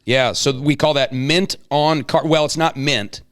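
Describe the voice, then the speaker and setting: Clear-voiced middle-aged man hosting a chat. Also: fairly high pitch, unhurried, fairly bright tone. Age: 40s. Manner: informal, slangy, rambling asides. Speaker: host. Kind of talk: casual chat, hosting an interview.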